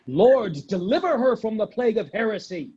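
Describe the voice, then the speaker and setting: A man's voice: sits high, lively intonation, moderate pace, mellow. A man playing a pious, condemning medieval churchman in a dramatic script read. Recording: dull, lo-fi video call audio.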